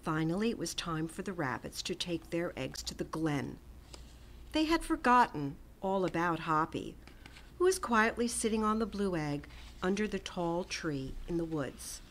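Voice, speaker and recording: A middle-aged woman reading a children's picture book aloud with gentle, expressive storytelling and soft whispered asides. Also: lowish voice, normal speed, a little hiss or hum. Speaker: storytime reader. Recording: music playing under the voice.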